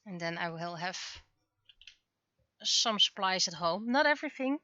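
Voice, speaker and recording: A woman chatting casually on a livestream as an artist at work, speaking haltingly as she thinks aloud. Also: lowish voice, expressive, pushed and bright, no room sound, dull audio.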